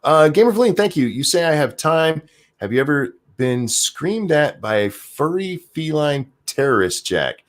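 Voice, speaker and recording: A man hosting a podcast, chatting casually and reading news articles aloud with a jokey, animated delivery. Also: a little high, moderate pace. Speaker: podcast host.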